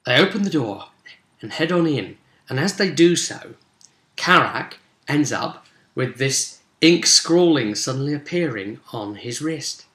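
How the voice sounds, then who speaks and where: A man speaking casually as a game master, recapping a tabletop role-play session with animated, dramatic emphasis. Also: mid-range voice, a little slow. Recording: roomy, echoey sound.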